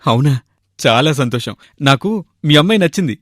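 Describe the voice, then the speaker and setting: A man's voice: mid-range voice, lively intonation, brisk, no room sound, clean studio sound, close to an everyday voice. A man playing a food-loving young husband and shopkeeper, grumbling yet tactful, in a cartoon moral story. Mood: delighted. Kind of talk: animated moral story dialogue.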